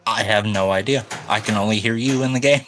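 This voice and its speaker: A man co-hosting a live game stream over a voice call, naturally loud, joking in a dry way. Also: on the low side, a little fast, soft background music.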